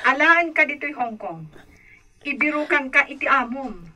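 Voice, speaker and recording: A woman's voice: sits high, expressive, normal speed, sharp tone, exaggerated voice. A Filipino woman playing a protective, exasperated elder sister in a radio drama. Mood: scolding, urging. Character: scolding, protective elder sister urging escape. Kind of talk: Ilocano radio drama acting.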